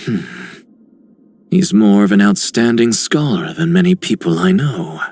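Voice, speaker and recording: A man voicing a calm, serious, scholarly young guardian with a measured, reserved manner in a video game. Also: sits low. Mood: composed, earnest.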